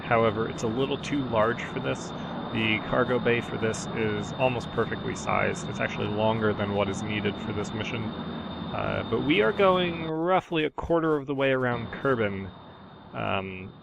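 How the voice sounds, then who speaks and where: A man who is sick with a sore throat, giving a relaxed, rambling gameplay voiceover with many ums and uhs. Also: medium pitch, moderate pace, gravelly. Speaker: gaming channel host. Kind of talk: casual gameplay voiceover commentary. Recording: steady background noise.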